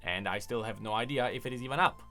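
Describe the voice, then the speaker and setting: A man giving casual, opinionated commentary as a gaming YouTuber, explaining as he goes in an animated way. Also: mid-range voice, fast. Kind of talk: casual commentary on game voice lines. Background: faint music.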